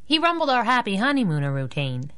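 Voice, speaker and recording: A plucky young crime-fighting sidekick in a 1930s radio adventure. Her pitch swings freely around a middle register. She is wry and casual, with snappy comebacks. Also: big pitch swings, a little fast, a bit bright, clean tone. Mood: wry, brisk. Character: plucky wisecracking young woman sidekick, hero's driver. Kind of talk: 1930s-set radio superhero drama acting.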